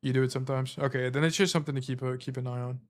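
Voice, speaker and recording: A man's voice: mid-range voice, steady tone, very fast. A man coaching as a stream host, reviewing gameplay in a casual, rambling way while losing his voice. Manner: casual, rambling, filler-heavy explanations. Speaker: game coach.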